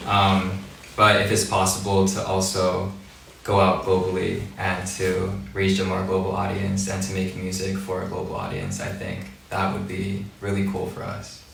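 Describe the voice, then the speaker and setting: A young man from Hawaii and Japan, a boy band vocalist, giving calm, measured interview answers. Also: sits low, vocal fry, background noise. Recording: echoey room, mic at a distance.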